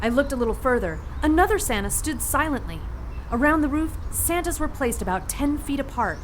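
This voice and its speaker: A woman's voice: sits high, big pitch swings, fairly quick, a little hiss or hum. A woman playing a plucky, sassy 1940s heroine who narrates her adventure in an audio drama with brash, wry slang. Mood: sassy, wary.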